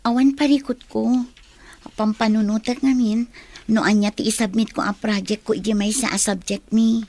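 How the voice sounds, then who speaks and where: A young student in an audio drama, speaking in a fairly high voice. She is troubled, evasive and caught up in her thoughts. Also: level tone, normal speed, darkish tone. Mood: evasive, pensive. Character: young female student, troubled and evasive about fading love. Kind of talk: Filipino radio drama acting.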